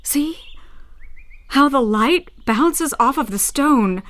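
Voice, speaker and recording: A woman's voice: sits high, lively intonation, slow, fairly bright tone, full character voice. A woman playing a blunt, ambitious young widowed painter of the 1860s in a naturalistic audio drama. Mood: proud, absorbed. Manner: blunt, clipped, self-absorbed.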